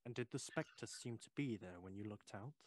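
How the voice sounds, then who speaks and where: An actor playing a young, clear-minded gentleman visitor in a Victorian ghost story, formal and reassuring, urging calm. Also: on the low side, moderate pace, dry recording, studio quality, close to an everyday voice. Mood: probing, cautious.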